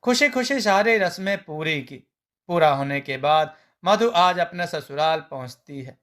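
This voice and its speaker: Slow-paced, higher-pitched male storyteller. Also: a bit bright. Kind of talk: scripted narration of a Hindi family story.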